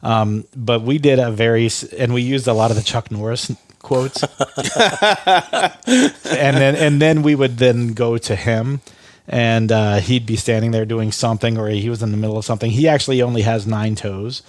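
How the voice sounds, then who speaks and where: Stuffy nasal guy rambling on a podcast, dry close mic, medium pitch. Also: moderate pace, no room sound. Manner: rambling storyteller, many restarts and laughs. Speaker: podcast host. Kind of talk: casual tasting chat.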